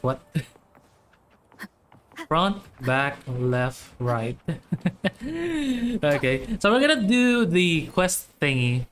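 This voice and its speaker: A young adult game streamer with a mid-range voice talks slowly and loosely. The style is casual, playful livestream chatter that rambles along. Faint music sits behind the voice. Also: medium pitch, fairly bright tone. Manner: rambling, playful, singsong exclamations. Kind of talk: casual livestream chat while playing. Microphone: streaming microphone.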